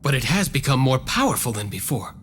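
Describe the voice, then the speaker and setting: Stoic hero, mid-pitched. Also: male voice, very animated, fairly quick, a bit bright, a little hiss or hum. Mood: questioning. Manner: terse, earnest. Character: stoic, resolute male monster-hunting warrior with a whip. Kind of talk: video game cutscene acting.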